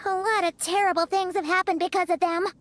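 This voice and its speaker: A woman voicing a tiny floating female companion sprite, chirpy and excitable, who talks about herself in the third person. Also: extremely high pitch, fast, no echo. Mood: chatty. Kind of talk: game voice acting.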